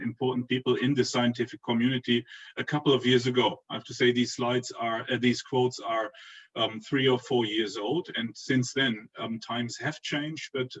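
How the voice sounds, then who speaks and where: A man's voice: mid-range voice, fairly bright tone, husky, distant mic, dull audio. A man with a German accent giving a panel presentation over a video call, hesitant and full of fillers.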